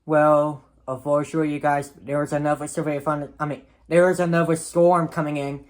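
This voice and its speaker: A man's voice: fairly high pitch, level tone, a little fast. A man vlogging, talking casually to his viewers.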